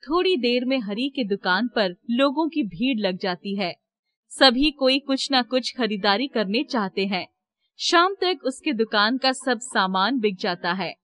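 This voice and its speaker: A woman's voice: a little high, animated, measured pace, fairly bright tone. A woman reading scripted narration for a children's moral story.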